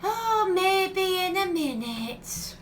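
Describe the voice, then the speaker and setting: A woman's voice: very high-pitched, sing-song, drawn-out words, a little hiss or hum, full character voice. A woman playing a young, awkward, unimpressed waitress in a family comedy radio play, hesitant and offhand.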